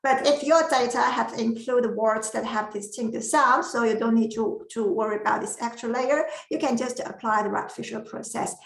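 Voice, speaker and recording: A woman whose first language is not English, giving an academic masterclass presentation with careful explanations and frequent pauses. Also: fairly high pitch, creaky, reverberant, low-quality recording. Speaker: lecturer.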